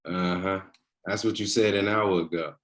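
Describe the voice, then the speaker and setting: Casting: male actor for charming boyfriend, early thirties, slightly low pitch, relaxed dry teasing. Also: moderate pace. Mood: wry teasing. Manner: casual, dryly teasing. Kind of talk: screenplay table read. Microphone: video call.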